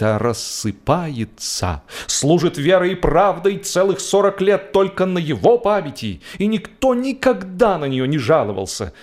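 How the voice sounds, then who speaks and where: A man narrating a humorous literary classic in an expressive, theatrical and wry way, with deliberate phrasing. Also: mid-range voice. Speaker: audiobook narrator and actor. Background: music.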